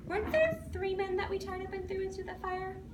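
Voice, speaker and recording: A woman's voice: very high-pitched, expressive, fairly quick, voice pushed up. A woman playing a proud, wrathful ancient king in a dramatic, declamatory recitation, commanding and emphatic. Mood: amazed. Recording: distant mic in noisy, echoey room.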